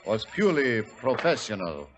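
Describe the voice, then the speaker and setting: An actor playing a smug, cold-blooded gentleman gambler with suave, formal, deliberate phrasing in 1940s radio style. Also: mid-range voice, sing-song, a little hiss or hum, natural voice. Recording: dull, lo-fi old radio recording.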